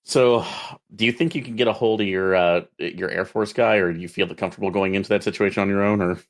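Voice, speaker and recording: A man with a deep voice plays a tabletop role-playing game. He talks casually as his character, halting and tentative as he puts his plans together. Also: fairly even intonation.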